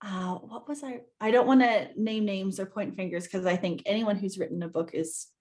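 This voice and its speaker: A woman in her thirties, from New Zealand, talks about her writing as an interview guest. Her delivery is animated, rising and speeding up in quick bursts. She sounds like she is on a video call. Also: medium pitch. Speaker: guest author. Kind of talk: conversational interview.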